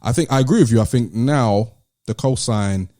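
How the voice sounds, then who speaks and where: A man's voice: mid-range voice, moderate pace, dark tone, no echo, studio quality. A man with a British accent hosting a casual podcast discussion, explaining his points step by step. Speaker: podcast host.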